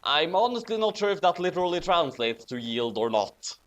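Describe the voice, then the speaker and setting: A man's voice: fairly high pitch, very animated, brisk, fairly bright tone. A man from Norway hosting a casual commentary on fan fiction, talking in a hesitant, rambling way. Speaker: host of a commentary reading.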